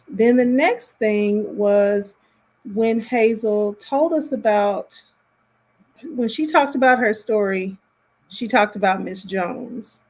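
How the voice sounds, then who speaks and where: A woman hosting a casual live stream, giving commentary in a rambling, halting way as she thinks aloud. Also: medium pitch, darkish tone, poor audio.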